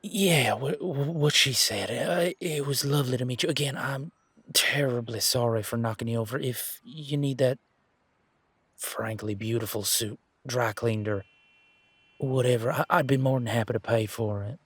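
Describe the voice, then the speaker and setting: A man's voice: medium pitch, moderate pace, a bit bright, slightly rough, no room sound. A man playing a polite, flustered werewolf boyfriend in a romantic audio drama, talking casually with nervous stammers. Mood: nervous, apologetic. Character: Gavin, flustered, polite, excitable young male werewolf boyfriend.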